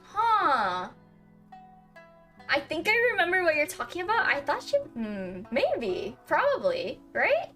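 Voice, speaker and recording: A woman's voice: high voice, huge pitch swings, takes it slowly, fairly bright tone. A young woman giving playful, casual reaction commentary while playing a game, often repeating phrases in a sing-song way. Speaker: game streamer. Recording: game music behind voice. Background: video game music.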